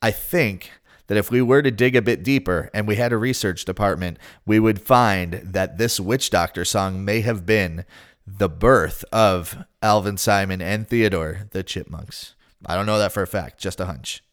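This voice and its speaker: Upbeat low-voiced podcast host. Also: male voice, moderate pace. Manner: upbeat, chatty, playful asides. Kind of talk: casual podcast chat and segment narration.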